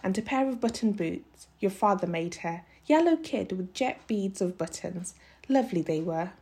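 A woman voicing an anxious, sharp-tongued, determined mother in a storybook, with emphatic, homely ungrammatical speech. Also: mid-range voice, expressive, moderate pace, voice pushed down, natural voice. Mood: wistful. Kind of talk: storybook read-aloud character voice.

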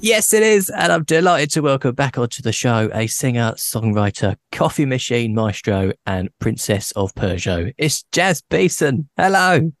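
A man hosting a podcast, chatting casually with a guest, playful and quick to laugh. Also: medium pitch, lively intonation, slight background noise. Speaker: podcast host. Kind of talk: casual interview chat.

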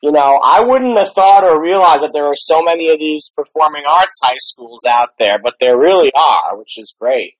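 A man who used to act, hosting an interview in a relaxed, halting way with lots of fillers. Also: a little high, no echo. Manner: relaxed, hesitant, lots of ums and you knows. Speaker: podcast interviewer, former actor. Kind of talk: casual interview chat. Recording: dry, slightly dull podcast audio.

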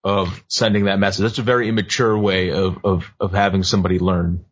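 A man's voice: deep voice, little pitch movement, fairly quick, slightly rough. A man in his thirties co-hosting a casual chat, making dry, wry remarks. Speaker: podcast co-host. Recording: muffled lo-fi audio.

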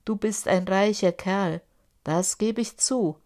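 A woman's voice: mid-range voice, takes it slowly, a little mellow, close to an everyday voice. A woman raising her voice as a defiant young man. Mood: scoffing. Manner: raised, bold, accusing. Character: young male charcoal burner, defiant and sly. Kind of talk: audiobook character voice.

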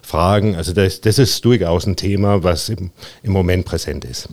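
Danish-accented middle-aged man, very low voice, dry close recording, easygoing hesitant talk. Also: no echo. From Denmark. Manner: relaxed, halting with many fillers. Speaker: guest, custom tailor. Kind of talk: casual interview talk.

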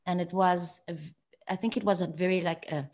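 A woman from South Africa whose first language is Afrikaans tells her personal story as a guest. She speaks softly and reflectively, sometimes pausing to restart her sentences, in a slightly low voice. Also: steady tone. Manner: soft-spoken, reflective, some halting restarts.

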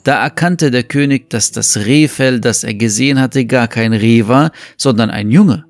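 A man's voice: medium pitch, moderate pace, dry recording. A man narrating a scripted fable as the storyteller of an audio story. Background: faint music.

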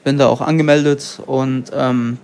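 A young man from Germany, an MMA fighter and guest, answering in a relaxed, rambling way with lots of fillers. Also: mid-range voice, moderate pace. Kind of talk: casual interview.

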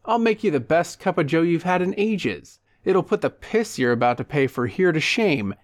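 A man's voice: on the high side, expressive, normal speed, pitched above the performer's own voice, close to an everyday voice. A man voicing a falsely jovial, pushy man in an audiobook, with exaggerated chummy enthusiasm. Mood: pushy, coaxing.

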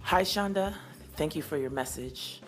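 Woman in her 40s, podcast host; husky, sultry morning voice, warm-paced earnest delivery over faint music. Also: deep voice. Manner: earnest, unhurried and affirming. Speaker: singer-songwriter hosting a call-in podcast. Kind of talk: reflective podcast talk answering listener messages.

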